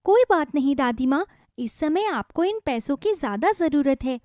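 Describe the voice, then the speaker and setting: Lady doing a sweet eager little kid girl, super high n swoopy, storybook style. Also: expressive, normal speed, dark tone, no room sound, studio quality. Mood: kind, earnest. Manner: earnest, polite, childlike.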